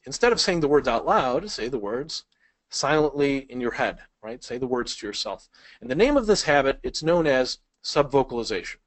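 Man, 30s, casual online tutor, middle-pitched, loose and chatty delivery with fillers, headset mic. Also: very animated, normal speed. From Chicago, United States. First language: Polish. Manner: casual, conversational teaching with frequent fillers. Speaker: speed reading instructor. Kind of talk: online video lesson.

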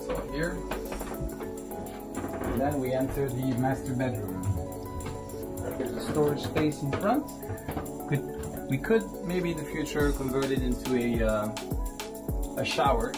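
A man in his thirties casually giving a walkthrough of a sailboat cabin, relaxed and meandering with fillers, filmed on a handheld camera aboard the boat. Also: medium pitch, unhurried, pauses often. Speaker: vlogger giving a boat tour. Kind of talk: casual home-video tour narration. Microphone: handheld action camera. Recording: music bed underneath.

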